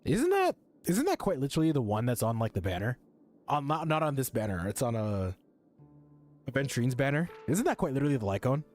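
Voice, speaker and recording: A man's voice: mid-range voice, huge pitch swings, a little fast, a little mellow. A man live-streaming a game, commenting in a casual, slangy way and reading game text aloud. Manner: informal, slangy. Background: game music.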